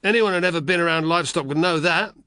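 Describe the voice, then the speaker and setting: A sneering country carter barks down from his cart, a man reading him aloud. His voice rides high and loud above the narration, coarse and contemptuous, in a dry, close audiobook recording. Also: fast, no echo. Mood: scornful. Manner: scornful, coarse. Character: gruff rustic male ox carter, contemptuous.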